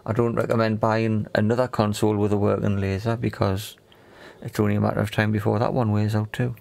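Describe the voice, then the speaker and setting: A man with a fairly low voice and noticeable fry in it. He talks like a casual repair video presenter, explaining things in a matter-of-fact way and pausing to find his words. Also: level tone, darkish tone.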